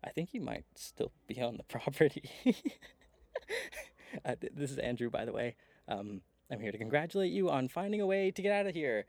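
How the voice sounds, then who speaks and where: A wry, weary brother in a radio drama. His creaky voice swings widely in pitch as he talks in halting, conversational bursts, recorded close and dry. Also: lively intonation, darkish tone, dry recording. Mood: exasperated amusement. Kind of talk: radio play acting.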